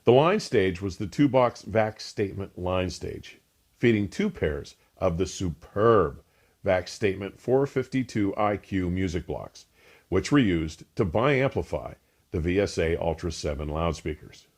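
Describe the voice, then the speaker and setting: A man with a low voice delivers a scripted equipment review with very lively intonation. His phrasing is polished and emphatic, like a keen reviewer hosting his own show. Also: measured pace. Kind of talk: scripted product review narration.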